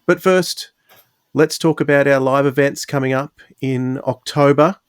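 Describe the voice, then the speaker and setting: A man's voice: mid-range voice, steady tone, a little slow, clean tone, dry recording, clean studio sound. An Australian man presenting a podcast in a casual style as its host.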